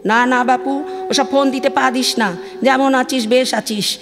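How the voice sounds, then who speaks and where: A high-pitched, nagging mother from a village , acted by a woman, questions and presses in colloquial West Bengal speech. She is a worrier in an audio play. Her voice rings in long reverb over loud music. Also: fairly even intonation, a little slow. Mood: disapproving, insistent. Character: anxious, nagging, needy village mother on the phone.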